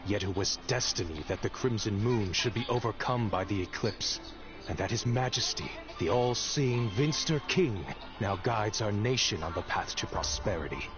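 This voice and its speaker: A man plays a solemn, loyal royal agent in a video game. He speaks formally and grandly in a low voice. Loud music runs under the dull audio. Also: expressive, normal speed, lightly acted. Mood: proud, solemn.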